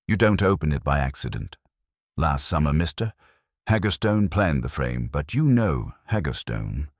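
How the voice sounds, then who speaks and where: Man voicing a very deep, weary, grumbling caretaker. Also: big pitch swings, moderate pace, no echo, heavily acted. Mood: dry, sardonic. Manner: clipped, dry, grudging. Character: weary, grumbling older male town hall caretaker, dryly sardonic.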